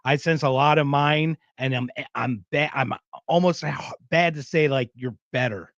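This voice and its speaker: A man hosting a podcast, talking in a loose, rambling way with frequent restarts. His voice sits a little high for a man. The recording sounds dry and slightly dull. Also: normal speed, a bit bright, no echo. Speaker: podcast host. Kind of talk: casual chat.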